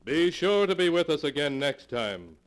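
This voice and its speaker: A man's voice: on the high side, big pitch swings, normal speed, music in the background. A man announcing a radio mystery program in formal 1940s style. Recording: faintly noisy 1940s radio recording.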